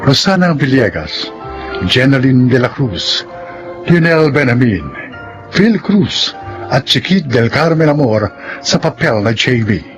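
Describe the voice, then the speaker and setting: A man's voice: medium pitch, very animated, slow pace. A man narrating and announcing a radio drama in a formal, emphatic scripted style. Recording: muffled radio broadcast with music. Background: background music.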